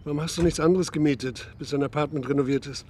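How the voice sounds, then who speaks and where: An actor playing a grieving, troubled middle-aged father in a naturalistic radio drama, speaking in a halting, subdued way. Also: a little high, steady tone, darkish tone, a little hiss or hum, lightly acted. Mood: concerned.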